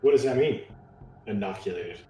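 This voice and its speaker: A man's voice: on the low side, lively intonation, moderate pace, mellow, highs cut off, soft background music. A man playing a tabletop game, bantering casually and bluntly in a roomy space.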